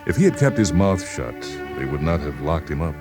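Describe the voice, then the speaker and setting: A man narrating a literary short story in a deliberate, brooding way with dramatic pauses. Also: very deep voice, big pitch swings. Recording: music behind the voice. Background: music.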